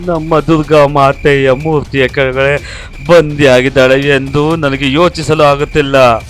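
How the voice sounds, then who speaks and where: A man's voice: fairly high pitch, level tone, unhurried, faint music behind, close to an everyday voice. A man voicing an earnest village character in a moral story, speaking in a declamatory way. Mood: alarmed. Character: earnest village men: leader and alarmed old visionary.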